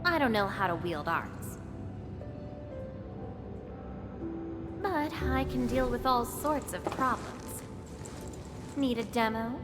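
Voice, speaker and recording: A woman's voice: a little high, lively intonation, measured pace. A woman playing a fierce, proud young swordfighter in a game cutscene, talking bluntly and in a domineering way. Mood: cocky. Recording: reverberant hall over music.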